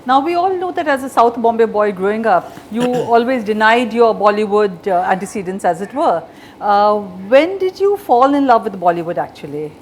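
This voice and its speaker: A woman, asking measured, probing interview questions and using fillers as she talks. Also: mid-range voice, lively intonation, slight background noise.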